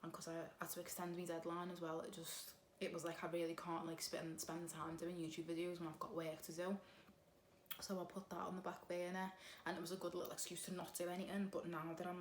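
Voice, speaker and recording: A woman's voice: lowish voice, little pitch movement, fairly quick. A woman in her twenties with a Liverpool accent, chatting casually while doing her makeup for a get-ready-with-me vlog. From Liverpool, England. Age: 20s. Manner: chatty, rambling, lots of "like". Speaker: beauty vlogger. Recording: echoey room, faint background hum.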